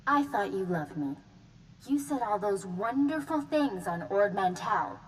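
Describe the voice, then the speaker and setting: A woman's voice: mid-range voice, expressive, measured pace, big-room sound, a little hiss or hum, natural voice, rough recording. A woman voicing a jilted bride who is bitter and accusing toward the man who left her, in a video game.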